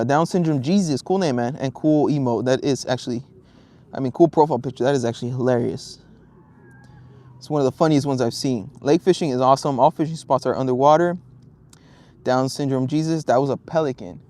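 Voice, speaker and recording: A young man from Southern California talks easily outdoors by a lake, reading out comments as they come in. His voice sits at an ordinary middle pitch. He rambles in a relaxed way, full of ums and restarts. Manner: laid-back, rambling, many ums and restarts. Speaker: fishing livestreamer. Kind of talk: casual live-stream chat, reading viewer comments.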